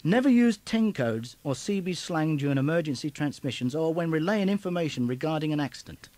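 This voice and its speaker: Animated male instructional narrator, dry studio sound. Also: on the high side, brisk, no room sound.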